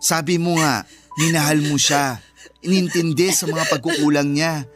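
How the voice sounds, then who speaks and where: Woman doing sassy filipina cousin, slangy and blunt, radio drama with clear music under it. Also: deep voice, steady tone, moderate pace, close to an everyday voice. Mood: indignant, supportive.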